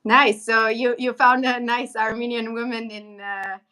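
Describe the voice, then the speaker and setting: Travel vlogger, woman from Syria. Bright, higher-pitched voice, informal conversational delivery for a casual live chat. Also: little pitch movement, unhurried. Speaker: travel vlogger and livestream host.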